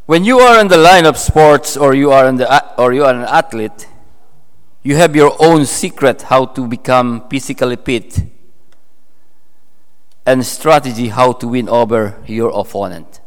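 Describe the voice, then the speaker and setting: A man from the Philippines with a Filipino accent, preaching a sermon in a halting way, full of fillers and restarts. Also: medium pitch, clean tone. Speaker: pastor. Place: church hall. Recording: long hall reverb.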